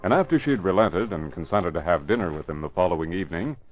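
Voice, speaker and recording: An actor as a formal narrator reading scripted storytelling for a vintage radio mystery drama. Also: male voice, low voice, expressive, quick pace. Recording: muffled old radio recording. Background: music.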